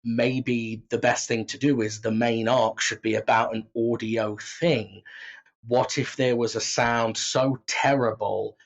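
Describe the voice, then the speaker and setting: A man's voice: mid-range voice, steady tone, unhurried. Dull-sounding, explanatory male writer. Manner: explanatory, conversational. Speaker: audio drama writer and creator. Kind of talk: casual interview talk.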